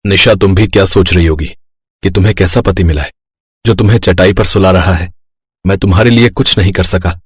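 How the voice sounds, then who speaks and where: A man acts a humble young husband in a cartoon drama. His lowish voice is husky, with a tender, apologetic manner and a dry studio sound. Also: fairly quick, no echo. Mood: sad, apologetic. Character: humble, sorrowful young husband, an affectionate stepson.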